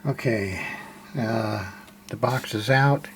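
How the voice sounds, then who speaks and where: A man's voice: lowish voice, slow pace. A man casually narrating hands-on repair work as a hobbyist, plain-spoken and muttering a little as he works. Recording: clear background noise.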